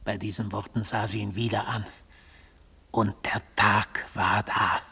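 Brooding obsessive guy, gravelly and really raspy, solemn old radio drama monologue. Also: lowish voice, little pitch movement, bright, forceful tone, exaggerated voice. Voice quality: very husky, gravelly. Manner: deliberate, solemn, portentous. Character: intense, brooding male accused, obsessively recounting his pursuit. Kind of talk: literary German radio drama acting.

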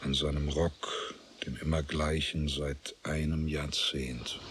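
A thoughtful storyteller, low voice, takes it real slow, radio play with noise behind. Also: male voice, little pitch movement. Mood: contemplative. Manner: deliberate, broken into short phrases. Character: measured, contemplative male storyteller of a literary tale. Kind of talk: literary radio drama narration.

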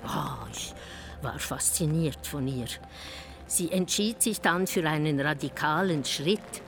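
An actress playing an intense, confessional woman in a reflective, halting first-person radio drama monologue. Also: low voice. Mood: pensive, recollecting. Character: intense, confessional woman recalling fasting and youth. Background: music.

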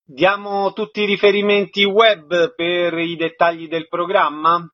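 A man's voice: high voice, flat delivery, measured pace. A man hosting a radio interview, presenting guests and asking questions. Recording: slightly dull, lo-fi radio sound.

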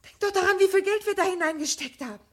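An actress playing a petulant, shallow, anxious wife hooked on television, in a 1970 German radio drama. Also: female voice, extremely high pitch, fast talker, fairly bright tone. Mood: panicked, tearful. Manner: petulant, agitated, repeating words.

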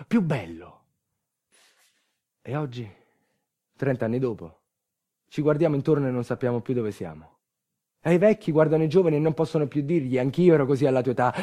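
A man's voice: mid-range voice, warm tone, lightly acted. An actor playing an earthquake survivor in a theatrical monologue, telling his town's bitter, nostalgic story in incantatory lists. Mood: bitter, melancholic.

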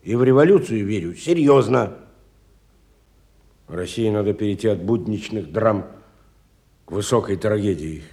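An actor playing a wealthy, educated, bitter industrialist in a radio stage drama, theatrical and musing, moving between soft and emphatic speech. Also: male voice, fairly low pitch, animated, unhurried, pauses often. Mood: earnest, impassioned.

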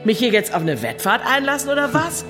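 A woman's voice: fairly high pitch, sing-song, a little fast. An actress playing a middle-aged, bitter, foul-mouthed ex-convict, telling her story bluntly and sardonically in a radio drama monologue. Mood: wry, agitated. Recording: faint music underneath.

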